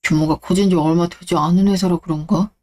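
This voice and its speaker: A woman's voice: fairly low pitch, fairly even intonation, brisk, darkish tone, voice pushed down, natural voice. A woman voicing a smug, shameless middle-aged ex-husband in a radio drama, blustering and talking down to people. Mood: scheming, self-assured. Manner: blustering, condescending, coarse. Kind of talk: Korean radio-drama story acting.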